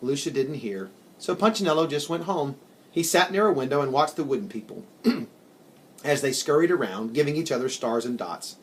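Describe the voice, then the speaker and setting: Male narrator for a children's storybook: expressive and animated, deliberate phrasing, slight background noise. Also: medium pitch. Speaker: story reader.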